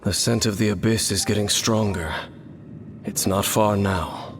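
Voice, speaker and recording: Man playing a slow, low-voiced brooding wanderer. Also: steady tone, close to an everyday voice. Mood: reserved, musing. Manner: measured, solemn, cryptic phrasing. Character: brooding, world-weary young male wanderer hunting the Abyss. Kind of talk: dramatic video game dialogue. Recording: studio voice over game music.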